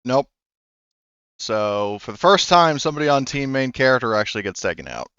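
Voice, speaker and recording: A man running a tabletop game as game master, talking haltingly with many fillers. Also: medium pitch, expressive, bright, forceful tone, clear, resonant tone, dry recording, highs cut off.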